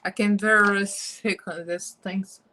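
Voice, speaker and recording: Slow, chatty Russian-accented woman. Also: mid-range voice, fairly even intonation, sharp tone, slight background noise. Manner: chatty, giggly, talks to viewers. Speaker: makeup live streamer. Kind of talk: casual makeup chat.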